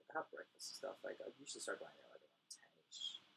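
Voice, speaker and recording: A man's voice: low voice, big pitch swings, gravelly, bright, forceful tone, whispered. A young man from Costa Rica and Venezuela, a poker player chatting casually as an interview guest at home, rambling with lots of "like". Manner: fast, rambling, many fillers "like". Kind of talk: casual chat.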